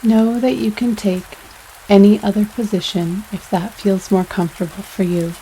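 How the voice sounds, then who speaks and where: A woman leading a guided yoga nidra meditation in a soothing, unhurried way, pausing between phrases. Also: mid-range voice, a little hiss or hum, poor audio. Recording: steady rain sounds over voice. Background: rain sounds.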